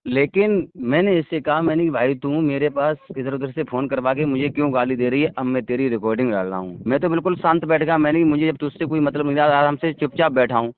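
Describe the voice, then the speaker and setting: A man's voice: fairly high pitch, fast, hardly any pauses, no echo. A man talking on a phone call, insistently justifying himself and asking to be heard out. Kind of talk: phone conversation. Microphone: telephone. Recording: tinny, dry phone call recording.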